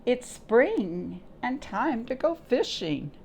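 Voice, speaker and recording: With some noise behind, a carefree storybook grasshopper read by a woman coaxes in a sing-song way. The voice swings widely in pitch and stretches out its words, full of playful invitation to make music and play. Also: big pitch swings, slow pace, vocal fry, a little mellow, full character voice. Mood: playful, inviting. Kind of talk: children's picture-book read-aloud.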